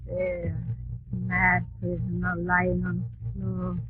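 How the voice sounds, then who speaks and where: A woman's voice: fairly low pitch, completely flat delivery, slow. A woman playing a fearful, fierce servant devoted to her master in a 1940s radio drama, with a foreign accent and broken, dramatic English. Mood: subdued, wary. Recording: tinny 1940s radio broadcast, music.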